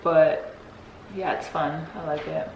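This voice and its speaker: A woman's voice: sits low, steady tone, takes it slowly, darkish tone, muffled recording. A young woman, a guest athlete, chatting casually and giggling easily as she answers questions. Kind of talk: casual Q&A chat. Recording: echoey with faint noise.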